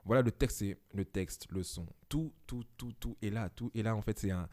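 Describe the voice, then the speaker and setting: Casting: young man in his twenties, low mellow voice, reflective podcast guest who hesitates often. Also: moderate pace. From Belgium. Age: 20s. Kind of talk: casual podcast conversation. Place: home.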